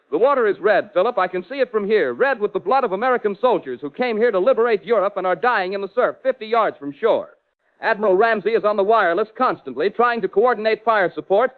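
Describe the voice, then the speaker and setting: A man playing a shaken 1940s American war correspondent, reporting urgently and dramatically over a filtered radio link. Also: high voice. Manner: urgent, dramatic, florid eyewitness delivery. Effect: radio transmission filter. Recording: tinny, narrow wartime radio link.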